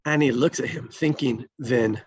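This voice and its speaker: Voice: mid-range voice, moderate pace, slightly rough, no room sound, dull audio. A narrator reading screenplay stage directions aloud in a scripted table-read style.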